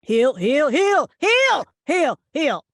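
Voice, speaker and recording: A woman in a comedic script read, playing a blunt, pushy, oddball friend with swaggering confidence and emphatic, deadpan delivery. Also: sits high, extremely slow, no room sound, studio quality, full character voice. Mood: brash, bossy. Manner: emphatic, forceful, deadpan boasting. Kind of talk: screenplay table read, comedic acting.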